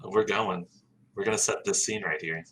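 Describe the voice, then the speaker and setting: A man with a lowish voice narrates stage directions from a script. Faint noise sits behind him. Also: fairly even intonation, moderate pace, a bit bright, poor audio.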